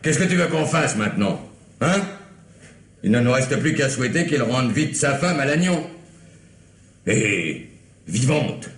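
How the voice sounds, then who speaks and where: A man's voice: mid-range voice, big pitch swings, unhurried, long pauses. An actor in an old French radio mystery playing an irascible, sarcastic police commissioner who scolds his men. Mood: bitterly sarcastic. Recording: roomy old radio recording with hiss.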